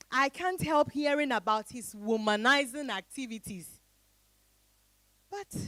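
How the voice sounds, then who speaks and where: A woman acts a weary modern Ghanaian wife thinking aloud on stage. The voice is fairly high and measured, resigned yet searching, recorded with very little echo. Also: fairly bright tone. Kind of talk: stage drama monologue.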